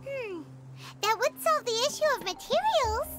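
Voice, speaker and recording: A woman voicing a small, chirpy fairy-like companion with a squeaky voice in a video game. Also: animated, normal speed, over loud music. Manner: refers to self by name.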